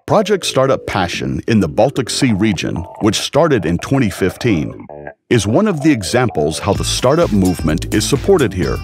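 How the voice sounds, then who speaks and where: Male narrator, measured documentary read, animated pitch, over a guitar bed. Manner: measured, informative. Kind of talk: scripted narration. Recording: music bed under the voice. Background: guitar music.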